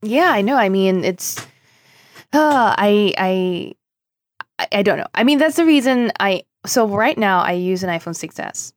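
Woman, podcast guest, from Singapore, mid-pitched voice, informal, animated, very dry sound. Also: a little slow, dry recording. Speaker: podcast guest, tech journalist. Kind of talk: casual chat.

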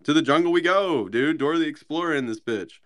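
A man's voice: on the high side, fast, fairly bright tone, dry recording. A man in a casual group chat, slangy and profane, repeating his phrases as he goes.

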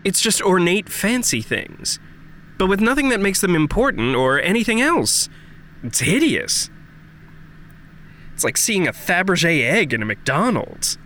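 An actor playing an earnest adult son of a rich family in a fiction podcast, with a hesitant, expressive delivery that dwells on words. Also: mid-range voice, a little slow, a little hiss or hum. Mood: scornful.

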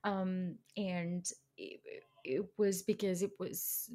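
A woman's voice: fairly low pitch, level tone, slow, warm tone. A young woman from India with an Indian accent, a guest on a podcast, talking casually with many fillers and frequent laughter. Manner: chatty, laughs often, many fillers and restarts. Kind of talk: casual conversation.

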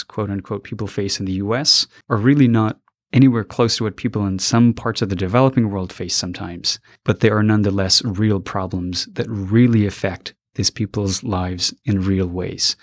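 A young man from Afghanistan with a fairly low voice tells personal stories in a reflective, unhurried way. He stops to search for the right words, then livens up when he retells a scene. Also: no echo, clean studio sound. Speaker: interviewee, college alumnus.

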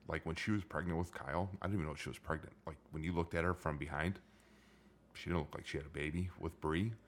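A middle-aged man with a low voice hosting a casual chat, joking and rambling with many restarts. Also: steady tone, fast, a little hiss or hum. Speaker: podcast host.

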